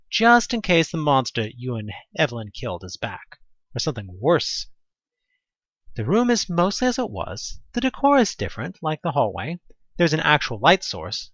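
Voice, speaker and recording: An expressive storyteller narrates a horror audio drama in the second person. The medium-pitched voice swings up and down with conversational asides. The recording is dry and slightly muffled. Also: no room sound.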